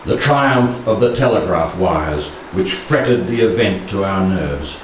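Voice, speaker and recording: A man in his sixties reciting poetry solemnly, with measured, dramatic phrasing and pauses, into a handheld microphone in a room. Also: low voice, animated. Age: 60s. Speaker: poetry reader. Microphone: handheld stage microphone. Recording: very echoey room, distant, faint noise.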